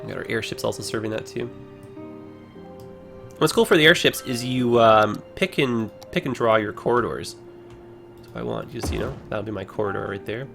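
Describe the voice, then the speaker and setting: A man casually commenting on a game he plays on a livestream, rambling with many fillers, his voice hoarse as he is losing it. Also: on the low side, normal speed, long pauses, fairly bright tone, soft background music.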